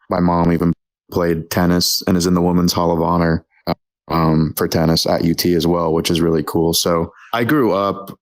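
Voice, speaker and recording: Guest: guy in his 20s, low and monotone, casual, hesitant, lots of ums. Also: poor audio. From Texas. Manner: hesitant, many ums and restarts. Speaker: podcast guest. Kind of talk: casual interview chat.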